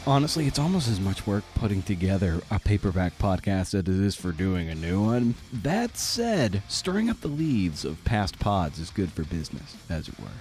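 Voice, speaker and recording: A man's voice: deep voice, very animated, moderate pace, few pauses, darkish tone, soft background music. A man hosting a podcast in a casual, rambling monologue, with informal, slangy, self-deprecating phrasing. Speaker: podcast host.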